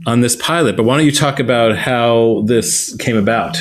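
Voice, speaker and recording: A man hosting a podcast, chatting casually with many fillers and reading an ad in a relaxed way. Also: medium pitch, normal speed. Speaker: podcast host. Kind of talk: casual podcast chat and host-read ad.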